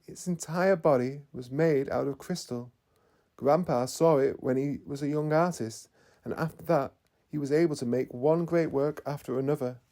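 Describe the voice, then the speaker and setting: A man's voice: on the high side, moderate pace, warm tone, voice pushed up, natural voice. A man reading a storybook, voicing a downcast, self-doubting young craftsman. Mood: wistful.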